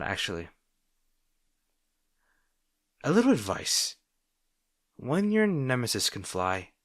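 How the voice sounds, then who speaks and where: A man's voice: sits low, creaky, a bit bright, dry recording, clean studio sound, heavily acted. A man playing an arrogant but secretly caring supervillain rival, bantering with the listener in an audio roleplay. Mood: grudging admiration. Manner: teasing, bantering, grudgingly sincere.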